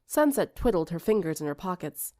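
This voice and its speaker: A woman's voice: on the low side, very animated, fairly quick, warm tone, dry recording. Woman narrating a story, very dry sound. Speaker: audiobook narrator.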